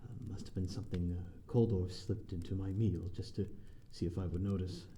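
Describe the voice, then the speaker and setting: A man's voice: sits low, fairly even intonation, moderate pace, dark tone, slight background noise. A man playing a lonely, courteous vampire in an audio roleplay, speaking in formal, old-fashioned, hesitant phrases to the listener. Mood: embarrassed, flustered. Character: lonely, courteous male vampire living in an abandoned manor.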